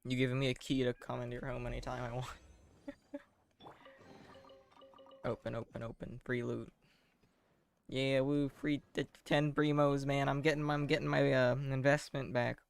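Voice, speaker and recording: Female game streamer, extremely low-pitched with heavy vocal fry, offhand deadpan commentary. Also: moderate pace, pauses often, no echo. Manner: deadpan, sardonic. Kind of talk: casual reactive gameplay commentary.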